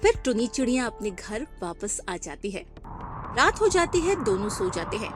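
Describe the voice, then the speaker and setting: A woman narrating a children's cartoon story in a clear storytelling way. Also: fairly high pitch, very animated, moderate pace. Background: background music.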